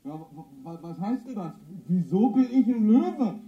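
An actor playing a naive, easily confused prison inmate in a radio crime play, hesitant and puzzled. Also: male voice, high-pitched, animated, moderate pace. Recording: muffled, roomy sound.

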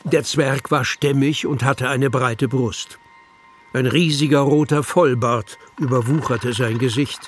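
An actor narrating a children's fantasy adventure as a measured, descriptive storyteller. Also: male voice, medium pitch, steady tone, slight background noise, natural voice. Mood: measured storytelling. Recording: music behind the narration.

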